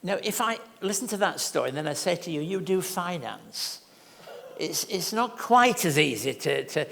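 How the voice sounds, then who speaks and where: An older man hosting a church interview, asking gentle questions with stammering restarts. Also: on the high side, big pitch swings, normal speed, creaky. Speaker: host. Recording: echoey hall with long reverb.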